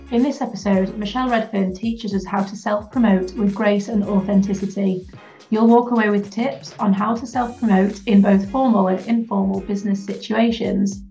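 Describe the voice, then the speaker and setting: Warm, gravelly woman introducing a podcast. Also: medium pitch, low-quality recording. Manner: reads smoothly from a script. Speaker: podcast host.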